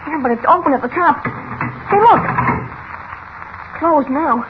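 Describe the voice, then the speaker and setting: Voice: high voice, animated, slow. An actor playing an eager, anxious, earnest teenage boy in a 1940s radio serial. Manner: earnest, boyish, nervous. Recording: muffled, noisy old radio recording.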